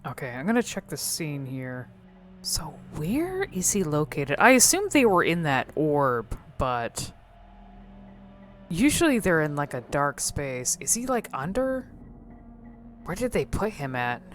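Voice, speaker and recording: A woman's voice: low-pitched, big pitch swings, unhurried, soft background music. A woman hosting casual, unscripted game commentary, musing and thinking aloud in a hesitant way. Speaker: video host exploring game cutscenes.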